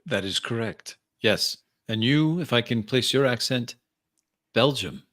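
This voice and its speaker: A man's voice: mid-range voice, lively intonation, measured pace, a bit bright, no room sound, clean studio sound. A man playing a genial French professor from Paris in a tabletop game, with a French accent and a gracious, formal manner.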